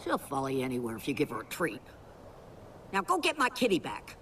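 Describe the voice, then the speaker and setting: Casting: blunt, grouchy elderly rural game character, very animated pitch, slightly distant over faint noise. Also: female voice, brisk, full character voice. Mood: indignant, bossy. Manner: crotchety, sardonic, blunt. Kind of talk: video game mission dialogue.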